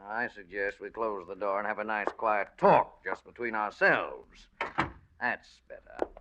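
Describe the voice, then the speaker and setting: An actor playing an older, genteel extortionist and killer, mock-polite and menacing, in 1940s radio thriller style. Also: male voice, lowish voice, lively intonation, a little slow, noisy background. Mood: suavely menacing. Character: smooth, menacing old male extortionist and killer. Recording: dull vintage radio broadcast.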